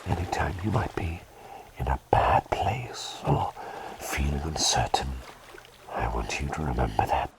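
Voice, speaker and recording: A man playing a gentle, flustered plague doctor in a whispered ASMR roleplay, soothing his patient with halting, repeated reassurances close to the microphone. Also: very deep voice, big pitch swings, heavy background noise, heavily acted. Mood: tender, reassuring.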